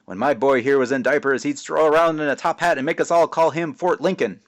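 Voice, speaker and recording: A man voicing a loud, jokey, theatrical father from a children's book, proclaiming in a booming, mock-grand way. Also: fairly quick, lightly acted. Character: loud, jokey, theatrical dad embarrassing his son. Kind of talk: expressive children's book read-aloud.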